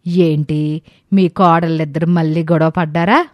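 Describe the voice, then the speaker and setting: A woman acts out a concerned older village neighbour in a moral tale. She advises and consoles gently in a fairly low voice, with a very dry recorded sound. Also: steady tone, measured pace, no room sound, dull audio. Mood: concerned, consoling.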